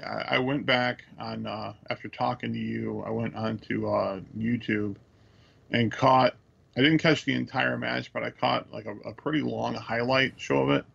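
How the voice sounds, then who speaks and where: A dull, muffled recording of an American man with a heavily creaky, gravelly voice at a middling pitch. He talks casually as a podcast co-host, picking through his analysis in a halting way. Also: steady tone, fairly bright tone. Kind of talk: casual chat, measured analysis.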